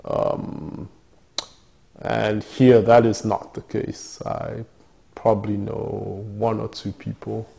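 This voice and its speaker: Guy, middle-aged, gravelly low mellow voice, lots of ums and restarts. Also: level tone, slow pace. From Nigeria. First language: Yoruba. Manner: thoughtful, hesitant, many fillers and restarts. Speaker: interviewee. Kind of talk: oral history interview.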